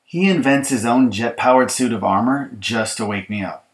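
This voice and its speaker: A man's voice: medium pitch, normal speed, heavy background noise, lightly acted. Man reading as exasperated kid sibling, emphatic storybook complainer. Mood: dramatic complaint. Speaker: author reading his audiobook. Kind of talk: children's picture-book storytelling.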